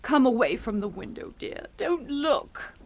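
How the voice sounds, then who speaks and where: A woman's voice: a little high, very animated, unhurried. An actress playing a composed middle-aged wife and mother, soothing and genteel, in a suspense radio drama.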